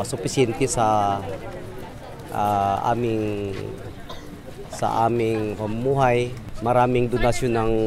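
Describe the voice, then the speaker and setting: Middle-aged man, monotone, halting earnest interview, street crowd in background. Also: lowish voice. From Mindanao, Philippines. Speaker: disaster survivor group representative. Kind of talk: press interview. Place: outdoors at night on a street. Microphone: handheld reporter microphone. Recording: outdoor crowd and street noise.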